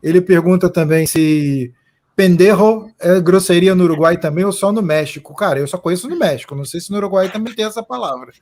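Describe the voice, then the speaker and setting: A man's voice: high voice, fairly quick, darkish tone. A Brazilian man hosting a casual live stream, chatting and reading out viewer comments.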